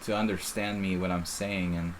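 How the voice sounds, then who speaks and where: Low-voiced man with faint Philly accent. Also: level tone, normal speed, slight background noise. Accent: faint Philadelphia. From Philadelphia. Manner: casual, rambling, self-interrupting. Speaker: chess streamer. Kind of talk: live chess commentary.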